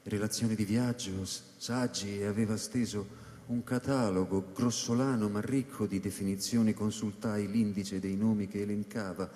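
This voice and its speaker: An actor playing a reflective male scientist who narrates a science-fiction radio drama in measured, deliberate phrases. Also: on the low side, fairly even intonation, dark tone, natural voice. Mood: reflective, subdued. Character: reflective male scientist recounting life on space station. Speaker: actor narrating as the story's protagonist. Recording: echoey hall reverb under live electronic music.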